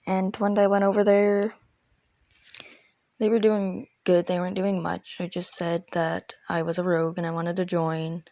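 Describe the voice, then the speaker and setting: Girl doing a casual rambly storytime, lots of slang, lowish voice, dry close recording. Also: level tone, normal speed, mellow, no echo. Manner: rambling, informal, youthful slang. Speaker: storytelling video creator. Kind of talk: casual storytime about an online roleplay game.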